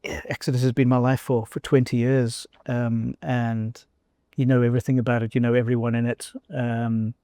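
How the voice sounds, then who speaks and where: South African man, older, gravelly, dry close sound, hesitant conversational interview talk. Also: medium pitch, little pitch movement, normal speed, dark tone, dry recording. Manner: thoughtful, hesitant with many fillers and restarts. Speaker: guest, former company CEO.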